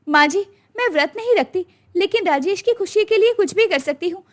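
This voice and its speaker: A young modern daughter-in-law from a family storybook drama, played by a woman. She sounds very high and lively, earnest, outspoken and caring. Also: fairly quick. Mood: warm.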